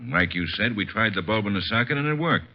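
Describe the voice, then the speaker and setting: An actor playing a gruff, impatient police inspector in an old radio drama. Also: male voice, fairly low pitch, lively intonation, fast talker, natural voice. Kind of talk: 1940s-50s radio acting. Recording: muffled old radio recording.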